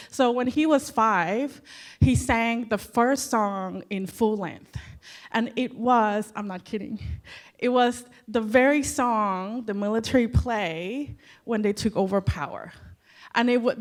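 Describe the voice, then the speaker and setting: Woman from Thailand telling a story. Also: mid-range voice. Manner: storytelling, earnest with personal asides. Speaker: panelist. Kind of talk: conference panel talk.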